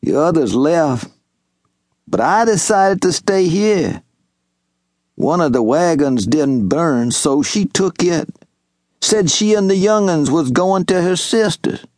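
A man voicing an elderly Southern servant, slow drawl. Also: fairly high pitch, expressive, darkish tone, dry recording, clean studio sound, voice pushed up. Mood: earnest. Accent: Southern US rural dialect. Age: older. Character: elderly male farm servant, loyal and humble.

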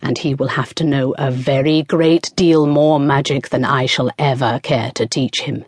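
A storyteller voicing a bad-tempered, scornful sorcerer king who draws out his words slowly. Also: mid-range voice, animated, fairly bright tone, breathy edge, dry recording, studio quality. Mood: cold.

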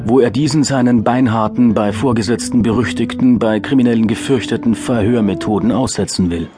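A man's voice: mid-range voice, a little fast, lightly acted. A male actor with an Austrian accent narrating a satirical crime radio play in dry, bureaucratic style. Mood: dry, officious. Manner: dry, officious, mock-bureaucratic wording. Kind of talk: scripted crime audio drama narration.

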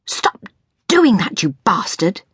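A woman's voice: on the low side, huge pitch swings, moderate pace, husky, no room sound, clean studio sound, voice pushed up, heavily acted. A woman voicing a frazzled, sweary mother in a storybook, exasperated and fretting over her son, snapping and shrieking. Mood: exasperated, yelling.

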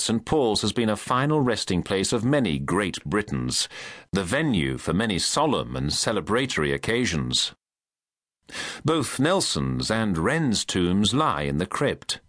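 A British man reading scripted guidebook narration as an audio tour guide, in a measured, formal, clearly enunciated delivery. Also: fairly low pitch, fairly bright tone, no room sound, studio quality. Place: recording studio.

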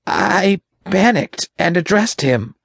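A man voicing a frightened, servile demon underling with a high, raspy, creaky voice and a timid, halting manner in an audiobook. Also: sing-song, a bit bright, dry recording, studio quality, heavily acted. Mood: ashamed, fearful. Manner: timid, halting, whimpering, slow. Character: groveling, frightened male impure spirit, servile demon underling. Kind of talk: dramatic audiobook character voice.

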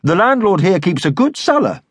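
A man's voice: sits high, sing-song, slightly rough, no room sound, voice pushed up, full character voice. A man voicing an educated bookseller who speaks politely and carefully with dry wit. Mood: wry polite. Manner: polite, measured, wry.

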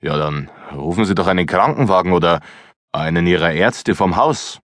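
Man playing a portly middle-aged cop, lowish voice, blunt, dry sound. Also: normal speed, fairly bright tone, dry recording. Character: short portly middle-aged male police detective.

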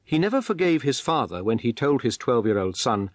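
A man's voice: mid-range voice, sing-song, a little fast, no room sound, studio quality. A man reading a scripted biographical documentary narration in a formal, articulate, explanatory manner.

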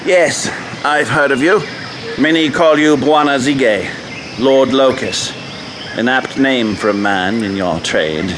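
A man's voice: mid-range voice, takes it slowly, natural voice. Man acting a dry, blunt big-game hunter. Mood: wary, defiant. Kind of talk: radio adventure drama acting. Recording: noisy outdoor sound effects behind.

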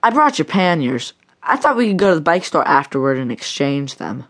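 A woman's voice: sits low, very animated, brisk. A woman reading an audiobook voices a nervous, bossy boy who talks urgently and gives orders. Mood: tense. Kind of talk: audiobook narration.

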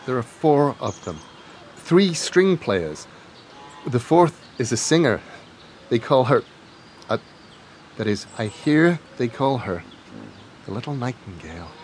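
A man's voice: medium pitch, very animated, a little slow, long pauses, a little mellow, a little hiss or hum. An actor playing a timid, lovestruck young nobleman in an audio drama, hesitant and stammering. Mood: shy, earnest. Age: young adult.

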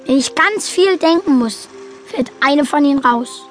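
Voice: high voice, expressive, a little slow, faint music behind. An actor playing an earnest, quirky young boy who narrates his story matter-of-factly in a children's audio drama. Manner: matter-of-fact, childlike, explanatory. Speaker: narrator and main character.

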